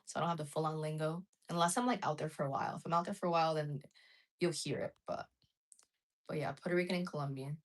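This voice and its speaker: Chatty streamer lady, kinda low voice, talking straight to chat on a phone stream. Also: fairly even intonation, quick pace. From United States. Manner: chatty, frank, answers chat messages as she goes. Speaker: live streamer. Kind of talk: casual live chat with viewers.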